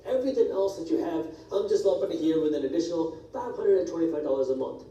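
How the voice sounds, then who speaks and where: High-voiced man explaining money, echoey. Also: lively intonation, warm tone, far from the mic. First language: Punjabi. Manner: brisk, direct, walks through numbers. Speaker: personal finance educator. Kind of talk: scripted explainer video. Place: played on speakers in a church hall. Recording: video replayed in an echoey hall, heard from afar.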